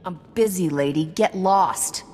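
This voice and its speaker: An actress playing a surly, ruthless smuggler captain, curt and contemptuous, in a video game. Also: female voice, on the low side, unhurried, darkish tone, slight background noise, close to an everyday voice. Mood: dismissive. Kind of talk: video game character dialogue. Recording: reverberant hall sound under music.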